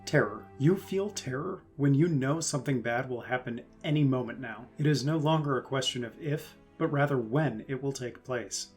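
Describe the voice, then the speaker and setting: A man with a mid-pitched voice reads guidebook text aloud over faint music. His scripted delivery is measured and dramatic as he explains. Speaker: reader of rulebook quotations. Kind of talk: scripted read-aloud narration.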